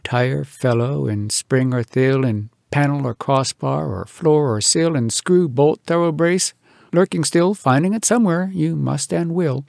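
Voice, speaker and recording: A man reading humorous verse aloud as a storyteller, in an animated, theatrical, folksy manner with drawn-out words and sudden emphasis. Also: mid-range voice, gravelly, breathy edge, no echo, studio quality. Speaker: storyteller, audiobook narrator.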